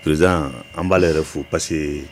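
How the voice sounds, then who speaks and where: Very deep-voiced man offering blessings. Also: steady tone, moderate pace, a little hiss or hum. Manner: formal, full of thanks and prayers. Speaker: radio guest. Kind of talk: closing remarks with blessings.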